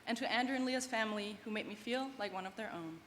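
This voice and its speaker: A woman's voice: mid-range voice, quick pace, a bit bright. A woman from Germany in her twenties, a non-native English speaker, giving an earnest, heartfelt student speech at a graduation ceremony. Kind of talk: prepared commencement speech. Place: large event hall. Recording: long hall reverb, faint background noise.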